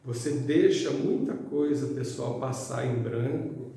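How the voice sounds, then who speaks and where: A middle-aged Brazilian man delivers an informal, conversational sermon. His voice is mellow with a gravelly creak, and he speaks colloquially, as if chatting with his listeners. The room rings heavily around him. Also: medium pitch, takes it slowly. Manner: conversational, colloquial, acts out imagined objections. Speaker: preacher giving a spiritist talk. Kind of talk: informal motivational sermon to an audience. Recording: very echoey hall, distant microphone.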